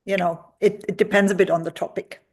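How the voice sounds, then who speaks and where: A woman from Germany speaks as a guest career expert, recorded over a video call. Her voice sits at a middle pitch, and she answers at length with frequent fillers and restarts. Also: steady tone, fast talker. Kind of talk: interview answers.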